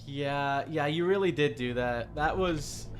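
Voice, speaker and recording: A man's voice: fairly high pitch, unhurried, a little hiss or hum. Rambling male streamer thinking aloud. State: tired. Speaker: streamer, VTuber. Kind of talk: casual live game stream commentary.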